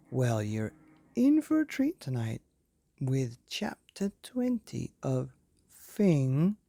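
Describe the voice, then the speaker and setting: A man hosting and reading a children's bedtime story, with theatrical, dramatic emphasis and drawn-out words. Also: on the high side, creaky, dark tone, no room sound, studio quality. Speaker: podcast host and story reader.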